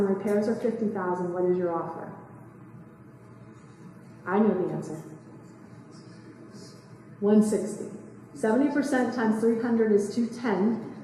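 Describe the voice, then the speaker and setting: A woman, giving a quick, chatty seminar talk and asking the room questions. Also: medium pitch, very echoey, big-room sound, distant mic, poor audio. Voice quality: soft voice. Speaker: real estate investing speaker. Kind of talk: live seminar talk to a small audience. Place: meeting room, indoors. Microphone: far camera.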